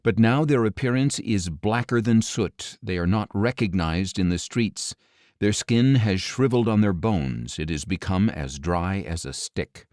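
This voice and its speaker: A man narrating scripture as an audiobook, with a solemn, measured delivery and deliberate phrasing. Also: lowish voice, darkish tone, no room sound, studio quality.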